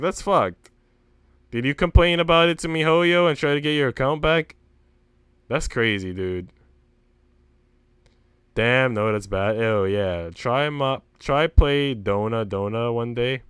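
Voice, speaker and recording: A man's voice: mid-range voice, a bit bright, smooth, no echo, studio quality. A man livestreaming a story game, switching between reading narration aloud and slangy, sweary casual chat. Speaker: streamer reading a visual novel aloud. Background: music.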